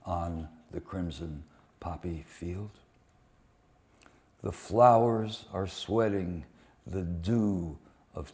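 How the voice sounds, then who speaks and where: Slow, deliberate man reading poetry. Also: low voice, mellow. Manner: slow, deliberate delivery in short phrases with weighted pauses. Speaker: poet reading translated war poems at a poetry event. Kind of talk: dramatic live poetry reading.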